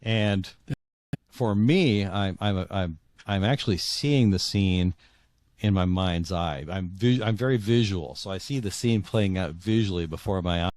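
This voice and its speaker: A man's voice: deep voice, a little slow, a little mellow, no echo, clean studio sound. A middle-aged or older man talking reflectively as an interview guest, often repeating words as he searches for phrasing.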